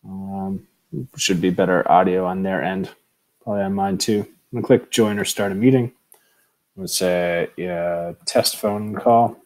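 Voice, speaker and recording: A middle-aged man giving a casual screen tutorial in a halting, informal way, on an earbud microphone at home. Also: sits low, fairly even intonation, darkish tone, poor audio. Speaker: tutorial presenter, educator. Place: room at home, indoors. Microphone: earbud headset microphone.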